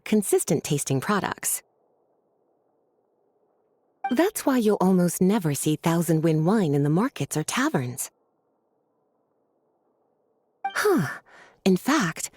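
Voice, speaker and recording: A woman voicing a kind mature teacher who speaks softly and patiently. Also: mid-range voice, a little hiss or hum, natural voice. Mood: explaining. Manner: soft, patient, caring. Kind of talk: game voice acting.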